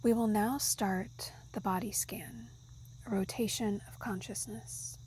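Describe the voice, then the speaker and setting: Slow, soft-spoken woman guiding meditation. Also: medium pitch, fairly even intonation, a little hiss or hum, low-quality recording. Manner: soft, soothing, breathy half-whispered delivery. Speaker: yoga nidra guide. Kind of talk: guided meditation narration.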